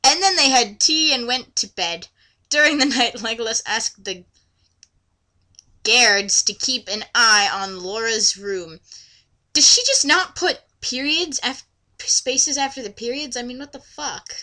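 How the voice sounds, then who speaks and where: A woman's voice: on the high side, a little slow, pushed and bright. A woman reading a story aloud mockingly, with theatrical delivery and exasperated asides. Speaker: reader mocking an amateur story.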